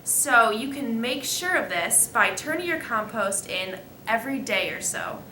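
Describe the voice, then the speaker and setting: A female student giving halting, scripted explanations as an expert guest in a mock interview. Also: a little high, expressive, bright, forceful tone. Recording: echoey room with faint noise.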